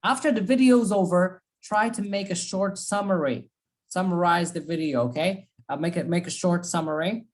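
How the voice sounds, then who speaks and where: Woman teacher on a video call, a bit low-pitched, drilling points home with repetition and frequent "okay?" checks. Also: normal speed, darkish tone. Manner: repeats key phrases, checks in with "okay?". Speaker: English speaking teacher. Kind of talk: giving feedback in an online class.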